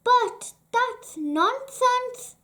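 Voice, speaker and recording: A reader putting on an excitable, very high-pitched storybook runner. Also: drawn-out words, heavily acted. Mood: indignant, disbelieving. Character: excitable, indignant male storybook race runner.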